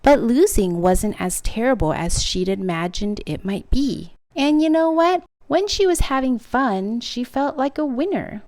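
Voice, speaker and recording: A woman's voice: a little high, lively intonation, a little slow, hardly any pauses, a little mellow, no room sound. A woman reading a children's picture book aloud expressively, drawing out key words for dramatic emphasis. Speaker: storytime reader.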